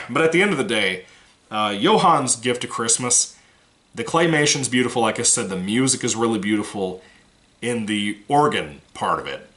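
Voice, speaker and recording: A man's voice: medium pitch, animated, moderate pace, a bit bright. A man giving a casual, rambling movie review, frank and swearing now and then, his voice still recovering from a cold.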